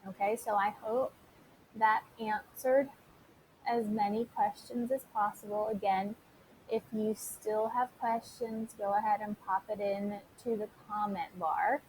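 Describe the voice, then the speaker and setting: A woman teacher giving an informal software tutorial, talking listeners through it step by step and addressing them directly. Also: mid-range voice, fairly even intonation, unhurried, slight background noise, poor audio. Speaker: teacher recording a tutorial for students.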